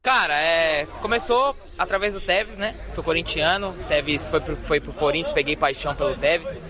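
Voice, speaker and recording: A man's voice: fairly high pitch, moderate pace. A man giving a street interview and explaining himself eagerly. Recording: street crowd and music.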